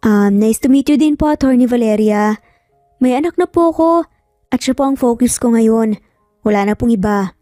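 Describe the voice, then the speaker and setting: A woman narrator plays a polite, spirited young Filipina mother in an audio romance. The voice is fairly high and mellow, with music behind it. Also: normal speed, long pauses, dry recording, clean studio sound, close to an everyday voice. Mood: shy, polite. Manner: polite, shy, questioning.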